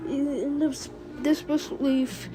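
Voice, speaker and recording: High-pitched woman giving casual reaction commentary. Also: fairly even intonation, drawn-out words, over loud music. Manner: informal slangy, rambling recap. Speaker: host of a reaction video.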